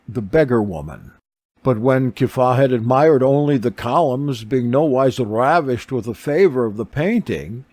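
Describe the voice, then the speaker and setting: A man's voice: medium pitch, a little mellow, no room sound. A man narrating a religious audiobook from a script.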